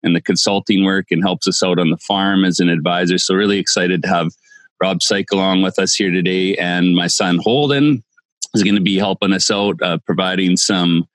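Deep-voiced man, halting podcast host. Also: a bit bright, dry recording. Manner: halting, repeats words. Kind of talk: casual podcast chat.

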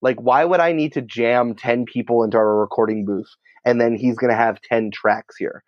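A man's voice: mid-range voice, fairly even intonation, normal speed, no echo, dull audio. An American man answering interview questions in an informal, emphatic, conversational way, peppering his speech with "like". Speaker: podcast producer and writer, interview guest.

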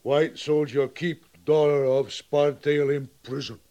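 Radio western war chief: proud, distrustful, stately slow, resonant, speaking clipped broken English. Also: male voice, on the high side, steady tone, mellow, muffled recording. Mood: bitter, proud. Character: proud, suspicious Sioux war chief and father. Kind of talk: old radio western acting.

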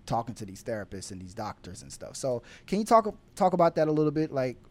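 A man's voice: mid-range voice, very animated, quick pace, a little mellow. A man hosting a podcast, chatting casually and animatedly with slang and frequent laughs. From Houston area resident, now Miami. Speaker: podcast host. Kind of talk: casual chat.

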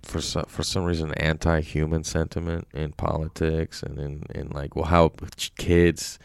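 Man, exceptionally smooth resonant voice, dry sound, halting delivery, casual podcast co-host. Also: very deep voice, little pitch movement, no room sound. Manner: halting, groping for words. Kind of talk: casual chat.

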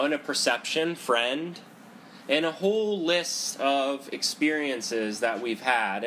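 A man with a head cold teaching a seated group about meditation, talking loudly in a conversational way and often checking in with "right?". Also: mid-range voice, a little hiss or hum, poor audio. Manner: loud talker; conversational, frequent "right?" and "you know". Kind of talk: Buddhist psychology talk to a group. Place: indoor room with a group, seated cross-legged.

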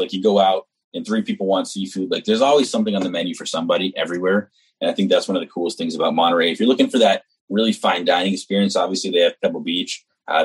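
A deep, husky male voice from New Hampshire, talking with earnest enthusiasm as an interview guest. He runs on in long, eager stretches of casual conversation. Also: low-quality recording. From New Hampshire, US East Coast. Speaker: executive chef, guest.

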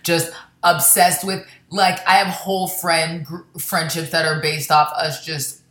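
Low-voiced chatty woman comedian, echoey room. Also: flat delivery, a bit bright. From Florida, born in Baltimore. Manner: rambling, self-deprecating, quick asides. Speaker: stand-up comedian, guest. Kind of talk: casual interview chat.

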